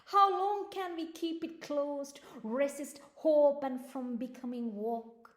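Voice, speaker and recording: A woman whose first language is Tamil recites spoken-word poetry at a conference, with deliberate, emphatic phrasing, dramatic pauses and repetition. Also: high voice, echoey room. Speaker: poet presenting at a conference. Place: conference hall, speaking to an audience.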